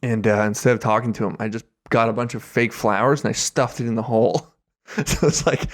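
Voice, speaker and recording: Male co-host, medium pitch, informal and sweary, loose anecdotal chat full of slang. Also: fairly even intonation, brisk. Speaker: podcast co-host. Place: remote podcast call.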